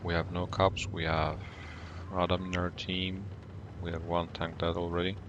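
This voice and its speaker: A man from Poland with a Polish accent, whose first language is Polish, calmly commenting on his game for a live stream and greeting viewers in chat. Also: sits low, lo-fi sound, noisy background. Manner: calm, unhurried, greets chat viewers between plays.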